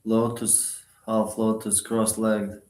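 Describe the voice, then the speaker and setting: A man leading a guided meditation, giving instructions in short, sparse phrases with long pauses between them. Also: on the low side, flat delivery, darkish tone, reverberant.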